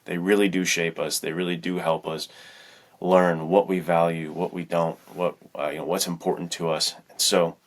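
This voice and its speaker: A man's voice: low voice, flat delivery, normal speed. A man co-hosting a podcast, speaking casually in an earnest, reflective way. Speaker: podcast co-host and fiction writer.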